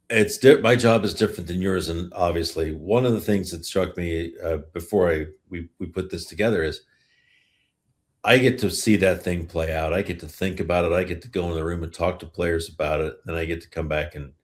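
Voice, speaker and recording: A middle-aged man hosting a sports podcast, asking and reacting in a conversational interview style. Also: low voice. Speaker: podcast host.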